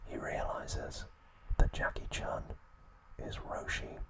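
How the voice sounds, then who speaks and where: A man narrating an ASMR recap in a soft, breathy whisper, with measured delivery in short phrases. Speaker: ASMR video host.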